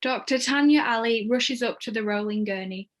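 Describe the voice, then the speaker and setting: A woman's voice: a little high, normal speed. A woman reading stage directions as scripted narration for a table read.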